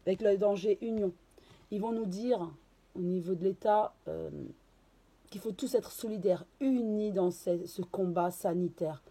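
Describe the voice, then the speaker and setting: A woman with a hoarse voice from a cold, giving a casual card reading and channeling, with many hesitations and restarts. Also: medium pitch, measured pace, warm tone. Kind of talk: casual tarot reading to camera.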